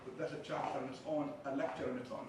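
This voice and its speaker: A man from Palestine with a thick Arabic accent lectures as a chaplain, dropping teasing jokes between points. His voice sits a little high. The mic is some way off, so he comes through a booming, echoey room with clear background noise. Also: level tone, quick pace, far from the mic. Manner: jokey, teasing asides between explanations. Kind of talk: seminar lecture.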